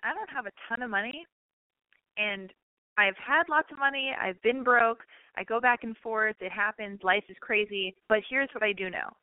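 Lady radio host, kinda high voice, bossy straight-talk advice, tinny phone sound. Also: dry recording. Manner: blunt, emphatic, fast-talking advice. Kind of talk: call-in advice chat.

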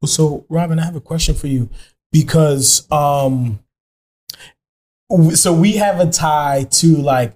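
Higher-voiced man hosting a casual podcast. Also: slow, a little mellow. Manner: informal, meandering questions with analogies. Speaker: podcast host. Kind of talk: casual interview chat.

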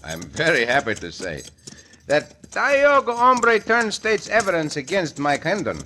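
A man's voice: a little high, wildly swooping pitch, measured pace, bright, forceful tone, exaggerated voice. A man playing a gallant, cheerful Mexican cowboy hero with a Mexican accent in an old radio western. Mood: genial. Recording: noisy vintage radio recording with clicks.

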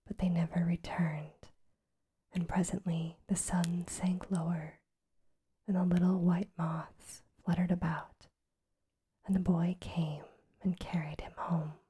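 A woman reading a bedtime story aloud in a soft, even ASMR whisper. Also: fairly low pitch, slow pace, breathy edge.

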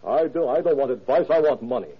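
A man's voice: mid-range voice, fast talker, husky, natural voice. An actor playing a proud, desperate businessman with a blunt, insistent manner in an old-time radio drama. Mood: blunt, tense. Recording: tinny, narrow-band vintage radio recording.

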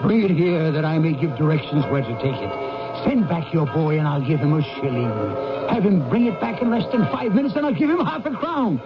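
A man performs an elderly miser with a high, theatrical voice. He speaks in fervent exclamations, as in old radio drama. Loud music sits under a muffled broadcast recording. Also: expressive, brisk, close to an everyday voice. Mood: giddy, elated. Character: old miser, frightened and pleading, then giddily reformed. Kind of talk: 1970s radio drama acting and first-person narration.

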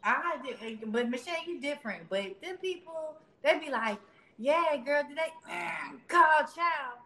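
A woman guest chatting casually, telling things in a slangy, comic, animated way. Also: high-pitched, measured pace, vocal fry, echoey room. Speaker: interview guest. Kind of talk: casual chat.

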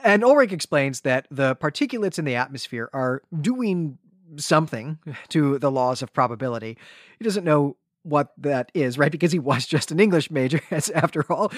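Slightly higher-voiced man recapping a story, dry sound. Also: expressive, moderate pace, dry recording. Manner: conversational, frequent "right?" tags. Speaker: podcast co-host. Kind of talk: casual book discussion and plot recap.

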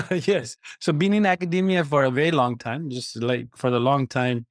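A man in his forties from Nepal talks through his career as an interview guest. His voice sits at a middle pitch and he explains things earnestly, with the odd filler. The sound is dry and close. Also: normal speed, dry recording. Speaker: guest, AI company founder. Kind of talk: conversational interview answers.

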